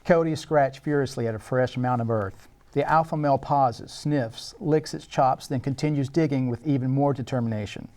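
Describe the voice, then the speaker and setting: A man's voice: medium pitch, moderate pace, darkish tone. A man narrating screenplay action and scene headings at a table read, in a scripted, dramatic style.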